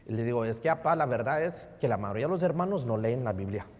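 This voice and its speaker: Animated bilingual Texan pastor in a reverberant church. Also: male voice, mid-range voice, fast talker. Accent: Texan Spanish with English code-switching. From Houston, Texas. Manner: animated preaching, mixes Spanish and English. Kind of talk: sermon. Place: church sanctuary.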